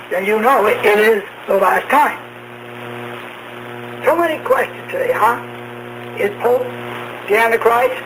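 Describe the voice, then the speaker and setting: High-voiced man preaching on noisy radio. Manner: slow, halting phrases with rhetorical questions. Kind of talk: shortwave radio sermon. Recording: noisy humming shortwave radio broadcast.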